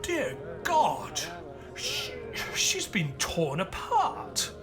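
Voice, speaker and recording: Audio drama sidekick: an earnest doctor, slow and careful, lively in pitch, music underneath. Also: male voice, full character voice. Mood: horrified. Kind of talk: audio play acting and narration.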